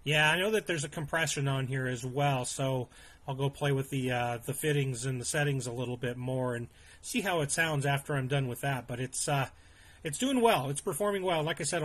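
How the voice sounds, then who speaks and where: A man's voice: on the high side, fairly bright tone, breathy edge. A man talking casually as an amateur radio operator, polite and appreciative. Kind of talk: casual radio contact chat.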